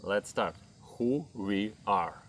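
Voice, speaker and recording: A man in his thirties from Ukraine with a Ukrainian accent, speaking English as a second language, giving a measured fitness lesson to camera and listing items one by one. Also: on the low side, lively intonation. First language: not English. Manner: measured, lists items one by one, non-native grammar. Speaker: fitness coach. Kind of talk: slow explanatory talk to camera.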